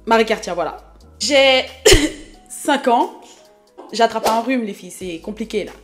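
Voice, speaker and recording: A woman's voice: fairly high pitch, unhurried, faint music behind. A woman in her thirties from Haiti, chatting casually and self-mockingly to her viewers as she introduces herself. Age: 30s. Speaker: YouTube vlogger.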